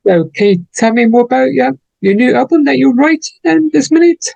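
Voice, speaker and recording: Radio host asking plain interview questions, gravelly voice, dry recording. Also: high-pitched, expressive, a little fast, darkish tone, no echo, lo-fi sound.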